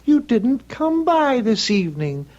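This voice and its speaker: An actor playing a courteous, gently insistent old man's ghost, a lonely shopkeeper, in an old-time radio drama. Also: extremely high pitch, big pitch swings, measured pace, full character voice. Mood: plaintive, friendly. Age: older. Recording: noisy, muffled old radio drama recording.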